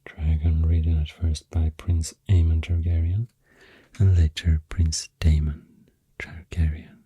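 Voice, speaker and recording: A man with a Swedish accent speaking softly and breathily, often close to a whisper, in a soothing ASMR ramble, close to the microphone. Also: little pitch movement, no room sound. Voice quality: deep, soft, breathy, often near whisper. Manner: slow, hushed, soothing. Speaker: ASMR host. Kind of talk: soft-spoken ASMR rambling.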